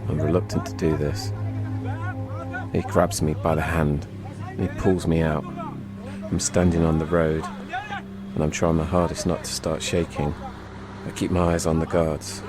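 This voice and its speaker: Very deep-voiced man telling a suspenseful story. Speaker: storyteller and podcast host. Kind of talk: dramatic first-person travel narration.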